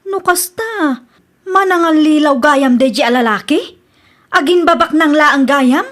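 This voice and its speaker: Napili a balasang, nangato timekna. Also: female voice, high voice, unhurried, a bit bright. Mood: dismayed. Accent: Ilocano. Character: choosy young sweetheart, trusting but demanding. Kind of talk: Ilocano radio comedy drama acting.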